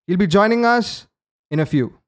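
High-voiced male interview host. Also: lively intonation, normal speed. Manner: formal, reads a prepared introduction. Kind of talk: hosted online interview.

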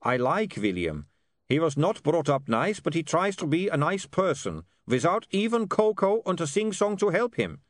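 A man voicing an earnest, kindly, oddly formal man in an audiobook, with deliberate phrasing. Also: fairly high pitch, sing-song, husky, no room sound, studio quality, pitched above the performer's own voice.

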